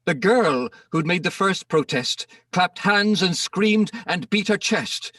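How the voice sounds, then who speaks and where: Slow, animated man narrating verse, higher-pitched. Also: no echo, clean studio sound, distant mic. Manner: theatrical, measured storytelling delivery. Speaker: poem narrator. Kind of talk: dramatic reading of rhyming comic verse.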